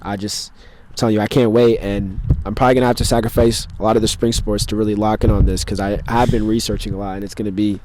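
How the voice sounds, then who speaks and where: A man's voice: lowish voice, level tone, quick pace, hardly any pauses, a little mellow, a little hiss or hum, poor audio. A man hosting a podcast in casual chat, teasing his guest, laughing between lines and often trailing off mid-thought. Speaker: podcast host.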